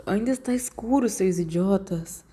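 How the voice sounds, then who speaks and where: Female reader doing thirteen-year-old boy, Brazilian accent, grumpy, wary, terse, mellow. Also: medium pitch, moderate pace, husky, lightly acted. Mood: groggy, annoyed.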